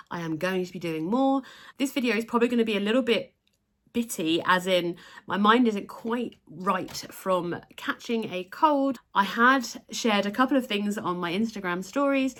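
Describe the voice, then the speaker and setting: A woman's voice: medium pitch, fairly bright tone. A woman hosting her crochet channel in a chatty vlog to camera, a little nasal from a recent cold. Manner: chatty, enthusiastic, showing items to camera. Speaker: crochet designer and channel host.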